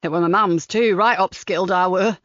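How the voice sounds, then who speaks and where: A woman voicing a chatty, kindly old countryman gardener with a broad Lincolnshire dialect, slow and lower than her own voice. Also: fairly even intonation, fairly bright tone, no room sound, close to an everyday voice. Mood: folksy. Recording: slightly dull dry recording.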